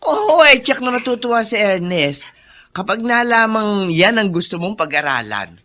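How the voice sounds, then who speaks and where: A woman's voice: medium pitch, very animated, normal speed, pushed and bright. A woman playing a kindly older housekeeper in a Filipino radio drama, chatty and encouraging in her delivery. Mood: chatty, animated.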